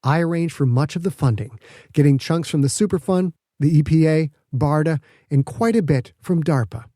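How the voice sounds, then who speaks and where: A man playing a smug, calculating woman governor in an audiobook, speaking in a measured, pointed, condescending way. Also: on the high side, dark tone, no room sound, studio quality, natural voice.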